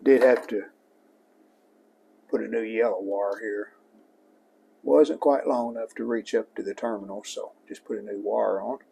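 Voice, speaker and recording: A man giving a casual repair demonstration, plainspoken and unhurried, speaking in short phrases as he works. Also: on the low side, steady tone, vocal fry, mellow, a little hiss or hum. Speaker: host of a vintage electronics repair channel.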